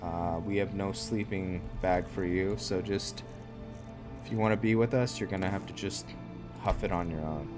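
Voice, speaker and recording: A man's voice: deep voice, steady tone, normal speed. A man giving casual let's play commentary, thinking aloud in short, musing phrases. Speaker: gamer narrating own roleplay playthrough. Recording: loud music behind the voice.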